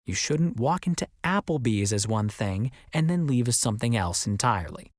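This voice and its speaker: A man reading as an audiobook narrator, in an expressive scripted storytelling style. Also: medium pitch, moderate pace, no echo, studio quality.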